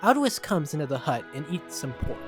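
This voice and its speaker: A man's voice: a little high, very animated, fairly quick. A man reading scripted story narration as the narrator. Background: background music.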